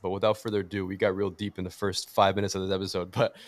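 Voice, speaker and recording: A man's voice: low-pitched, level tone, fast, no room sound. A young man from Miami, whose first language is Portuguese, hosting a podcast in a chatty, enthusiastic way with many fillers. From Miami, USA. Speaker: podcast host. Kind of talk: casual interview conversation.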